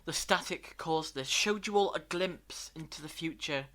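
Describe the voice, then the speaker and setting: An actor playing a knowing time traveller in an audio drama, speaking in a measured, grave, explanatory way. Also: mid-range voice, bright, forceful tone, close to an everyday voice. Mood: measured, explaining.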